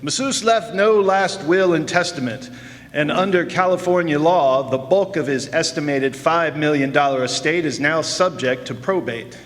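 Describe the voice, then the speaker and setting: An actor playing a celebrity's manager making statements to the press in a radio drama. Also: male voice, a little high, moderate pace, fairly bright tone, close to an everyday voice. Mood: solemn. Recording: echoey hall, stage performance.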